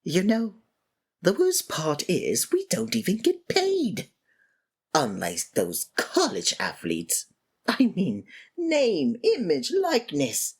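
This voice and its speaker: Woman acting a theatrical, wildly expressive supervillain. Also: on the low side, slow, breathy edge, exaggerated voice. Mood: exasperated. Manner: theatrical, taunting, sarcastic. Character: theatrical supervillain monologuing at kidnapped hero, sardonic, weary. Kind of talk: scripted ASMR roleplay acting.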